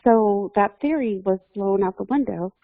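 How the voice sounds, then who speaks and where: A woman's voice: medium pitch, moderate pace, no echo. A woman speaking casually as a guest in an investigative discussion. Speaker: podcast guest. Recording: thin, dry phone-like call audio.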